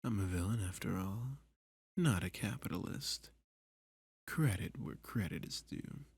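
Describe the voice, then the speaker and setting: Villain kidnapper played by a male voice actor, ASMR roleplay: slow, gravelly, seductive and possessive, often whispering close and dry. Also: low-pitched, lively intonation, darkish tone, no room sound, exaggerated voice. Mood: amused, coaxing. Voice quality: breathy, husky, often dropping to a whisper. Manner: slow, teasing, seductive, with pet names. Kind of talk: ASMR roleplay addressed to the listener.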